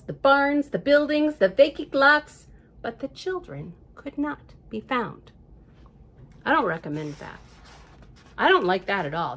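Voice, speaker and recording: A woman reading a children's story aloud expressively, breaking off for chatty, conversational asides to young listeners. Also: on the high side. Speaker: librarian storyteller.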